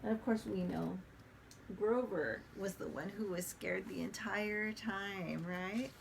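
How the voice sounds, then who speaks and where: Gravelly-voiced woman reading aloud. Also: mid-range voice, takes it slowly, a little hiss or hum. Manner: chatty, hesitant with many fillers. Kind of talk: casual read-aloud with commentary.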